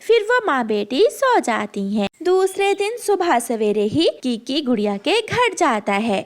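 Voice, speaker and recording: A woman's voice: sits high, very animated, measured pace. A woman narrating a scripted children's story as the narrator.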